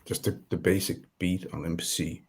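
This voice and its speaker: Danish-accented male guest, deep voice, hesitant, conversational. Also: little pitch movement, husky. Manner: halting, searching for words. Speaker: guest beat producer. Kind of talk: casual interview chat.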